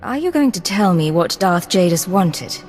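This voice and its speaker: Secret agent, self-assured, clipped and defiant, reverberant game dialogue with faint music. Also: female voice, lowish voice, sing-song, normal speed, darkish tone. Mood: cool defiance. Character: cool, defiant young female secret agent.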